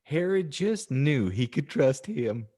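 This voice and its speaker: A male podcast host narrates fan fiction like a mock-earnest audiobook. He takes his time, swings his pitch around theatrically and slips into jokey casual asides. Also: big pitch swings, slow pace, dark tone. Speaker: podcast host and story reader.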